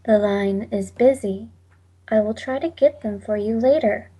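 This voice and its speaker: An actress playing an impassive telephone operator, speaking with measured, formal politeness in 1940s radio drama style. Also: female voice, mid-range voice, mellow, slightly rough. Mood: detached, polite. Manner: measured, detached, scripted politeness.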